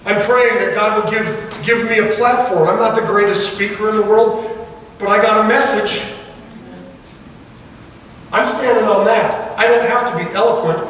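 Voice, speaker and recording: A man's voice: high-pitched, fairly even intonation, normal speed, long pauses, fairly bright tone, a little hiss or hum. A male pastor preaching a sermon, earnest and pressing the congregation with repeated questions. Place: large church sanctuary. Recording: echoey hall, mic far off.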